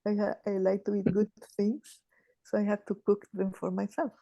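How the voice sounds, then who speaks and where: A woman, sharing in a group call in a halting, thoughtful way with many restarts. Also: mid-range voice, level tone, creaky, warm tone, no echo, dull audio. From Chile. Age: 80s. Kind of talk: casual sharing on a video call.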